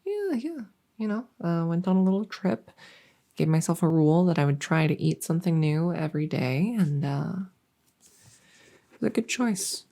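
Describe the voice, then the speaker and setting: A woman's voice: on the low side, sing-song, normal speed, warm tone. A woman voicing a silly, awkward monster who lives under a bed, rambling and stammering earnestly in a comedic ASMR roleplay. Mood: chatty, confiding. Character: silly, awkward female monster living under a bed, bungling her job of scaring. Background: writing sounds.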